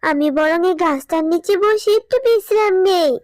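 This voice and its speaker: Woman as a very high-pitched cartoon girl. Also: normal speed, darkish tone, dry recording, clean studio sound. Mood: wary. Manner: childlike, earnest. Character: sweet, eager young girl animal, earnest and friendly. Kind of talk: Bengali children's cartoon dubbing. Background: music.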